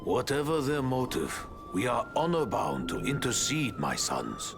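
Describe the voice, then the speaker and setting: An actor playing a fatherly old rat martial arts master in a video game, formal, measured and grave. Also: mid-range voice, wildly swooping pitch, music in the background. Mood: grave, cautioning. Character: wise old rat sensei, solemn, fatherly. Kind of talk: video game cutscene acting.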